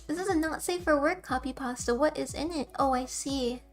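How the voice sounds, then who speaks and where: Young woman, high and soft-voiced, rambling and giggly, casual stream chat over faint music. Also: fairly quick. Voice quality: soft, quiet voice.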